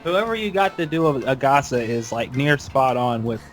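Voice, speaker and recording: A man's voice: medium pitch, expressive, normal speed, soft background music. A man hosting a podcast in casual roundtable talk. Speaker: podcast host.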